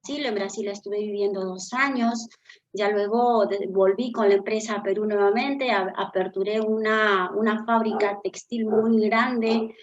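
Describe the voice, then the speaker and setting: A woman's voice: medium pitch, steady tone, unhurried, lo-fi sound, slight background noise. A middle-aged woman with a Peruvian accent, a business consultant telling her story earnestly as an interview guest. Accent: Peruvian Spanish. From Peru. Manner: earnest, reflective storytelling with frequent fillers. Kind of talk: interview conversation.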